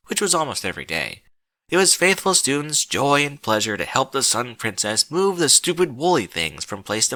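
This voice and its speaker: A man reading a short story aloud in an expressive, theatrical narrator's style with wry, emphatic phrasing. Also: medium pitch, no room sound.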